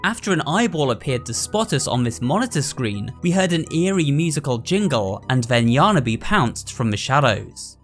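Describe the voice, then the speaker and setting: A man's voice: medium pitch, sing-song, moderate pace, few pauses, fairly bright tone, faint music behind. A man narrating a scripted breakdown as the host of a video.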